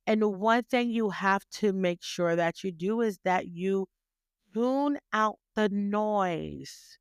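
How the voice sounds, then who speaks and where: Slow, smooth-voiced woman podcaster. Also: mid-range voice, no echo, studio quality. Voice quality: firm, stern yet graceful delivery. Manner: emphatic, repeats phrases and fires rhetorical questions. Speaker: podcast host. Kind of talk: motivational podcast monologue.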